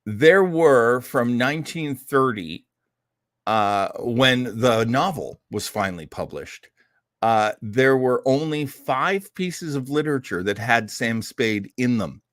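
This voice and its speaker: Animated man chatting as a radio host. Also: mid-range voice, slow, dry recording, studio quality. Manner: rambling, animated storyteller with wry asides. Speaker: host of an old-time radio show program. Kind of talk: casual chatty introduction to vintage radio drama.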